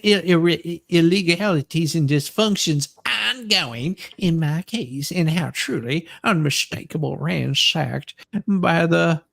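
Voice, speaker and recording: A woman co-host giving a dramatic reading of wordy letters, sometimes halting over the phrasing. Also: deep voice, creaky. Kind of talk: dramatic reading with brief asides.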